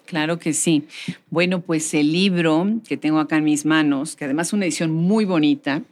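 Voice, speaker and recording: A woman's voice: deep voice, fairly even intonation, normal speed. A woman hosting a live interview in an effusive, welcoming, conversational way. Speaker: podcast host. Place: book fair in Mexico City.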